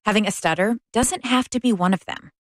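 A woman's voice: mid-range voice, fairly quick. An American woman hosting a public radio interview, speaking in a polished, articulate way. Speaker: radio show host.

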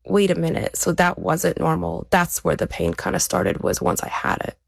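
Low, creaky-voiced woman speaking reflectively. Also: sing-song, normal speed, dry recording, studio quality. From born in Ukraine, raised there to age seven, then America. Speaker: interview guest, elite athlete. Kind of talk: reflective personal account in conversation.

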